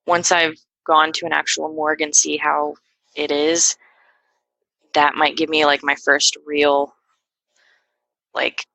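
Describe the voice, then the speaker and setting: A woman's voice: deep voice, completely flat delivery, slow, creaky, long pauses, sharp tone, no room sound, poor audio. A young woman guest on a podcast, talking casually and hesitantly with lots of fillers and restarts. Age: young adult. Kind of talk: casual conversational interview.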